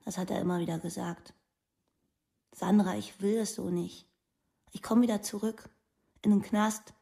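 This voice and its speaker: A woman's voice: medium pitch, fairly even intonation, normal speed, creaky, warm tone, close to an everyday voice. An actress playing a devoted, wistful woman of twenty-six, talking in plain short phrases in a documentary radio play. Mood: troubled. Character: devoted, wistful 26-year-old girlfriend of a prisoner.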